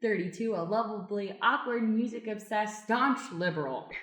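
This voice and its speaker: A woman's voice: mid-range voice, moderate pace, fairly bright tone. A woman, an improv actor, casually introducing herself on a podcast. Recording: roomy, echoing room sound.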